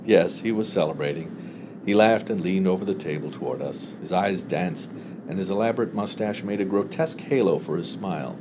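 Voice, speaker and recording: A man narrating a literary short story as an audiobook reader, with expressive delivery. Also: low-pitched, a little fast, background noise.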